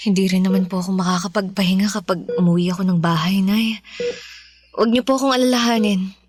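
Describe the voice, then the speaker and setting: An actress plays a weary mother devoted to her sick child. She speaks in a mid-pitched, gentle and halting voice, in the manner of Filipino radio drama, with faint music underneath. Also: steady tone, close to an everyday voice. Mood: weary, resolute.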